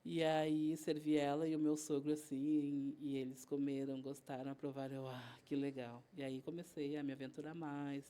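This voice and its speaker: A woman's voice: sits low, warm tone. A woman guest chef with a southern Brazilian accent, telling stories in a casual interview and acting out bits of dialogue as she goes. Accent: southern Brazilian Portuguese. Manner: chatty, retells events by acting out dialogue.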